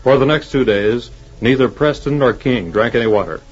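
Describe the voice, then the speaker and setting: A man's voice: mid-range voice, normal speed. A man narrating an adventure serial in dramatic, scripted 1940s radio style. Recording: muffled 1940s radio recording. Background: music.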